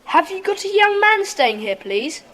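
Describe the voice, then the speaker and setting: A woman playing a decisive schoolboy club leader with a brisk, take-charge manner in a children's audio drama. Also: extremely high pitch, very animated, fairly bright tone, heavily acted. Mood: keen.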